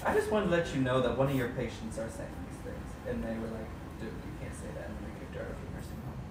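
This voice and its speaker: A man teases his friends with mock outrage and dry, deadpan jokes. He sounds distant and roomy, as if coming through a stream call. Loud noise sits under the whole line. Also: medium pitch, fast talker. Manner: mock-indignant, deadpan joking. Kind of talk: casual chat.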